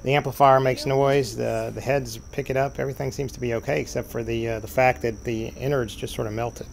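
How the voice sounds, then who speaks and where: An easygoing man with a gravelly edge to his voice talks through an old machine in a relaxed home vlog. His pitch sits in an ordinary middle range, and he rambles with plenty of fillers. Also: fairly quick, slight background noise. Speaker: vintage tape deck repair vlogger. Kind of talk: casual show-and-tell narration. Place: sunny room at home, at a desk.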